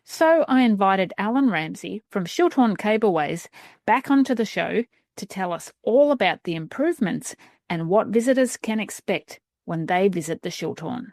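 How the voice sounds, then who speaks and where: Lady podcast host, mid-pitched voice, dry close mic, friendly chatty interviewer. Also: lively intonation, normal speed, darkish tone, no echo.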